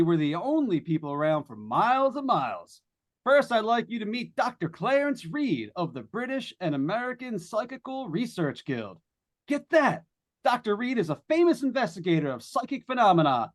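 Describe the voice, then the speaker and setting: A breezy radio ghost hunter, acted by a man in a 1940s-style radio play. The voice sits high and moves in lively swings. He addresses listeners like a folksy showman, always ready with a quip. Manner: chatty, folksy showman delivery. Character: breezy, joking male radio host hunting ghosts. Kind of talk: 1940s live radio drama acting.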